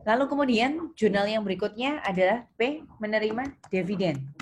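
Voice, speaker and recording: A woman's voice: mid-range voice, animated, moderate pace. A woman lecturing step by step in an instructive way, putting questions to students as she goes. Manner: instructive, questions students and repeats their answers. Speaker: accounting lecturer. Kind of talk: interactive online lesson, explaining step by step. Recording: noisy, with keyboard typing and clicks.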